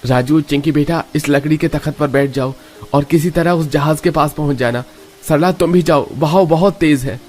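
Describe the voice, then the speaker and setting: A man's voice: fairly high pitch, normal speed, darkish tone, close to an everyday voice. A man playing a brash, breezy young village farmer in a cartoon story, talking in an emphatic colloquial way. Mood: urgent.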